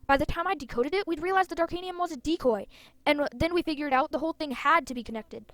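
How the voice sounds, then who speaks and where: An earnest, brave young heroine from a fantasy game, voiced by a woman. She sounds urgent and high, in a dry recording. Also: quick pace, fairly bright tone, no room sound. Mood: relieved. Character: earnest, brave young female soul rider.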